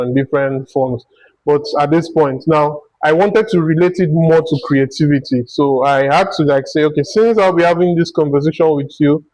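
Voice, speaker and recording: A man's voice: a little high, darkish tone, no room sound, rough recording. A man with a Nigerian accent hosting a conversational interview, thinking aloud in long, winding turns. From Akwa Ibom, Nigeria. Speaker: interview host.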